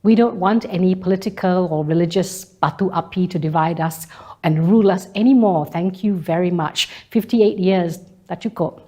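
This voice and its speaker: Mellow, low-voiced woman. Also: normal speed. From Malaysia. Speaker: speaker delivering a personal monologue. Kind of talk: scripted spoken-word monologue.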